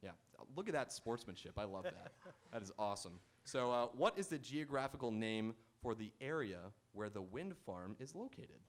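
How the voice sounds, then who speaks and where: A man's voice: mid-range voice, sing-song, normal speed. A man hosting a live game show on stage, bantering playfully and reading announcements to the audience. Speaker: quiz show host. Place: auditorium stage.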